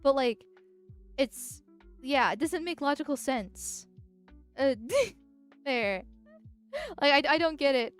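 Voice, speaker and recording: High, nasal-voiced woman streamer. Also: slow pace, pauses often, soft background music. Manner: rambling, giggly, with frequent filler words. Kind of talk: casual livestream chat.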